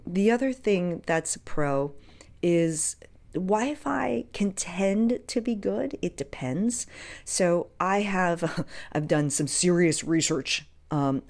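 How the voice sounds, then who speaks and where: A woman's voice: fairly low pitch, a little mellow. A woman hosting a podcast, talking casually and emphatically as she gives advice, with rhetorical questions and asides. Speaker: podcast host. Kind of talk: casual solo podcast talk giving advice.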